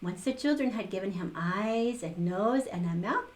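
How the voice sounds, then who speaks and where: Animated older woman reading a storybook. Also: mid-range voice, normal speed. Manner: expressive, exclaiming, chuckling asides. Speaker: storytime reader for children. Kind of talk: animated picture-book read-aloud with asides.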